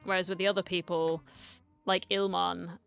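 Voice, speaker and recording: Clear-voiced woman reacting to a game. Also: on the low side, little pitch movement, normal speed, faint music behind. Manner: musing aloud, talking back to game characters. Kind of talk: casual reaction commentary.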